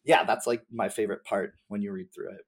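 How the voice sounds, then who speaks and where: Halting, informal man chatting as a guest. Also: lowish voice, steady tone, husky. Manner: halting, informal, peppered with slang and swearing. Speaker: podcast guest. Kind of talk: casual chat about video game lore.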